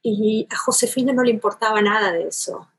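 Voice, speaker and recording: A woman's voice: medium pitch, fairly quick, husky, low-quality recording. A woman from Buenos Aires with a porteño Argentine accent, a literary scholar talking at length in an interview, thoughtful and elaborate, often tagging her sentences with a questioning "¿no?". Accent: Argentine porteño Spanish. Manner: thoughtful, elaborate, with frequent restarts and "¿no?" tags. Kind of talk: academic interview talk.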